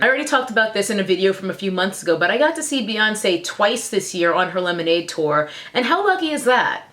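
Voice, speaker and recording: A woman with a slightly hoarse, recovering voice, talking candidly to her viewers in a casual vlog. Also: mid-range voice, fairly bright tone. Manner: candid and conversational, with frequent "like" fillers. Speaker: YouTube vlogger. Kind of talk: casual chatty vlog to camera.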